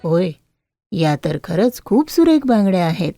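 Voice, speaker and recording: A woman's voice: fairly low pitch, sing-song, normal speed, mellow, no echo, faint music behind. Woman voicing a wise, kindly male god. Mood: approving. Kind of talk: storybook character voice.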